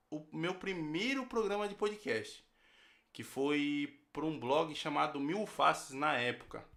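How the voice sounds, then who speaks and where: A man's voice: a little high, a bit bright. A Brazilian man, a podcast host, giving a casual recorded testimonial as a guest. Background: music.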